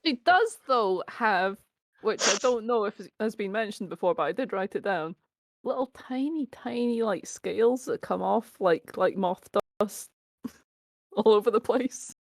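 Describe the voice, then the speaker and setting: A woman's voice: mid-range voice, a little slow. A woman player in a tabletop game, describing her character in a giggly, hesitant way. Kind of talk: casual chat.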